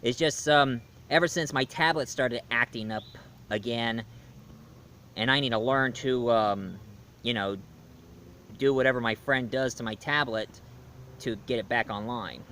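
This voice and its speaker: A man hosting a casual movie review show, rambling with lots of fillers and restarts and swinging between loud bursts and quiet asides. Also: medium pitch, measured pace, a little hiss or hum.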